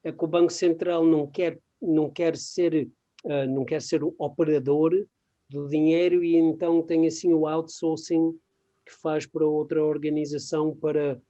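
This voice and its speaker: Hesitant Portuguese speaker, mellow male voice. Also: on the high side, level tone, dull audio. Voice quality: non-native Portuguese with English terms mixed in. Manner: halting, searching for words. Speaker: guest expert. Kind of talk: webinar presentation in conversational form.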